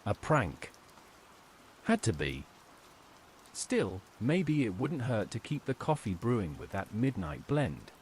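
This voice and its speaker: Guy telling a scary story as narrator, pitch moves around a lot, bit of rain behind him. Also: wildly swooping pitch, takes it slowly, long pauses, slight background noise. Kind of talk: scripted first-person horror story narration.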